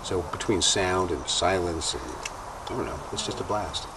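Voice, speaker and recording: A man with a deep voice muses enthusiastically in a casual interview. The mic is some way off and outdoor noise is clearly audible. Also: lively intonation, a little slow, pushed and bright. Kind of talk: unscripted documentary interview. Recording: noisy outdoor ambience, distant microphone.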